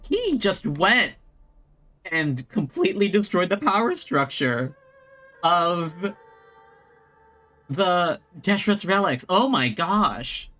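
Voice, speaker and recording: A man chatting casually as he streams a game, halting as he thinks aloud. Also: high voice, sing-song. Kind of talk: casual chat while playing a game. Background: soft game music.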